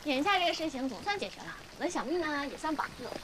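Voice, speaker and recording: A young actress playing a lively, scheming peasant girl in a period drama, playful and coy in her teasing. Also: high voice, very fast, very noisy. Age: young adult. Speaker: lead actress. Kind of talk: television period drama acting. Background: music score.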